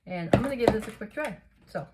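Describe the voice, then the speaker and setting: A woman hosting a casual craft tutorial, chatting through each step and a little hoarse and sniffly. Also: on the high side, animated, quick pace, very noisy. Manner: chatty, narrates each step, gushes over results. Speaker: craft video host.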